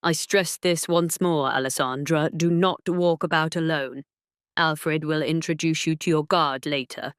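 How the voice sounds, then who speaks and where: A woman's voice: low-pitched, steady tone, unhurried, clear, resonant tone, dry recording, clean studio sound. A woman voicing a teasing, self-assured aristocratic duke, speaking formally in a romance audio drama. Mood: composed, commanding.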